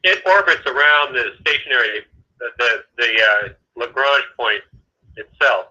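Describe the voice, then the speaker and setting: Man, satellite staffer on a call, halting explainer, very bright tone, tinny phone line. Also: mid-range voice. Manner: halting, many restarts, explanatory. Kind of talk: phone conversation.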